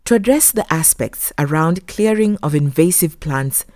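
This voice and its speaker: Low-voiced woman narrating a documentary. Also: expressive, moderate pace, darkish tone, no room sound, studio quality. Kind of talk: scripted narration.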